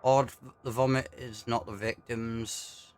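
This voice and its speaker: A man giving casual, low-key commentary on a video game as he plays, often muttering to himself. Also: flat delivery, slow. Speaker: gaming YouTuber. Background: game music.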